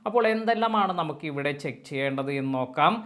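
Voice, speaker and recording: A man talks through phone settings one step at a time, in a voice pitched a little high. He is patient and detailed, spelling things out for older viewers. The voice-over sits close to the mic. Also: expressive, fast talker, fairly bright tone. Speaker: tech tutorial host. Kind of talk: step-by-step phone tutorial narration.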